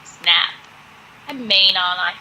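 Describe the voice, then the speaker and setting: Female podcast co-host, informal and sardonic, crisp bright tone, leisurely delivery. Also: on the low side, measured pace, slight background noise, rough recording. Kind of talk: casual podcast chat.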